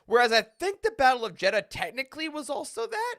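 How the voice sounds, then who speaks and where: A man co-hosting a podcast in casual chat, with an animated, jokey, emphatic way of talking. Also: very high-pitched, fast, creaky. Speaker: podcast co-host.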